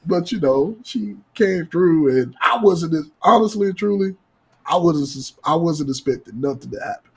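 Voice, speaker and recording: A man with a husky voice telling a casual podcast story in slangy, profane talk, acting out the dialogue. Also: fairly high pitch, lively intonation, normal speed. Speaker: podcast co-host.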